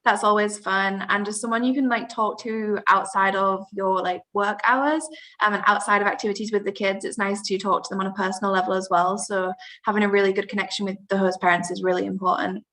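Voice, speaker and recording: Woman from the uk, twenties, relaxed au pair, casual chat, video call. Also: mid-range voice, brisk. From United Kingdom. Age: 20s. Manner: relaxed, fillers "um" and "like".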